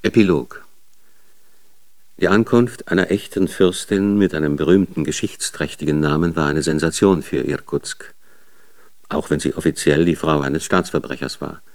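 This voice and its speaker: Low-voiced male radio drama narrator. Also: normal speed. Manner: sober, documentary delivery.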